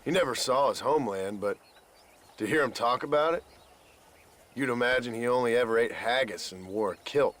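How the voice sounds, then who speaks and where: Actor playing a weary American outlaw cowboy. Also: male voice, mid-range voice, normal speed, a bit bright, natural voice. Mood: somber, reflective. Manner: dry, understated, formal courtesy. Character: weary, guarded former outlaw cowboy, grimly polite. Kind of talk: video game character acting.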